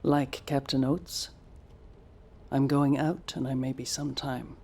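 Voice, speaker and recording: A woman's voice: a bass voice, steady tone, slow, vocal fry, dark tone, breathy edge, heavily acted. An actress playing a proud, guilt-ridden female space mission commander with a clipped, formal manner in an audio drama. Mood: subdued, sorry. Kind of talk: naturalistic audio drama acting.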